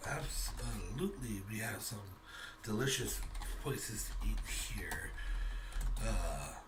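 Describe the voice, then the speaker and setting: A man's voice: fairly low pitch, expressive, slow, echoey room, recorded from a distance, background noise, voice pushed down. A man playing an obliging inn concierge in a tabletop game, helpful and hesitant.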